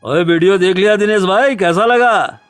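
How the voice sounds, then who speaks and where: Smug high-pitched scheming man, acted by a man. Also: clear, resonant tone, no room sound, soft background music, close to an everyday voice. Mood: menacing, gloating. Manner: taunting, drawn-out, theatrical menace. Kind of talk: Hindi cartoon story dubbing.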